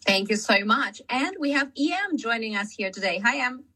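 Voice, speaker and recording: A woman's voice: a little high, expressive, normal speed, pushed and bright, breathy edge, distant mic. A woman hosting an event and introducing the panel speakers. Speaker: event host.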